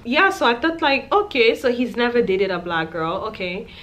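A woman's voice: fairly high pitch, big pitch swings, normal speed, fairly bright tone, slight background noise. A young adult woman vlogger telling a candid storytime to camera, chatty, with lots of "like" and "you know". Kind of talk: casual storytime monologue to camera. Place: small indoor room.

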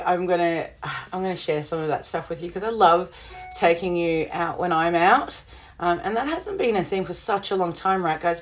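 A middle-aged woman with a slightly husky voice, chatting casually to viewers on her livestream from a cottage room, bubbly and full of fillers. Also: fairly low pitch. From Southern Hemisphere. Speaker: witchcraft livestream host. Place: witchy cottage room indoors.

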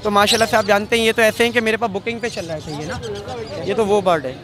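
A young man, a bird seller, pitching his birds in eager, quick patter to a vlogger at an outdoor market on a handheld mic. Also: steady tone. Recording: noisy crowded outdoor market. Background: crowd chatter.

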